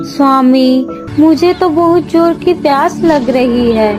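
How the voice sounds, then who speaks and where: High-pitched plaintive young princess played by a woman. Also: slow pace, music in the background. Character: young beautiful princess wife, plaintive and scheming. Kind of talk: Hindi animated moral story acting.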